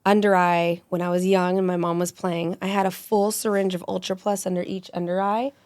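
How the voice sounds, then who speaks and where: A woman in her forties, a med spa injector, telling stories animatedly and quickly in a casual podcast chat. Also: on the low side, clean tone, dry recording. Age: 40s. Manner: animated, rapid storytelling.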